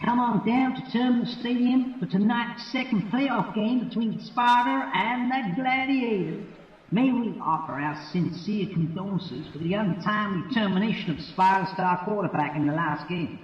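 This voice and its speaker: An actor playing a hyped male stadium announcer making a loud advertising pitch. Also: moderate pace, a little hiss or hum, close to an everyday voice. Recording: dull echoey hall sound.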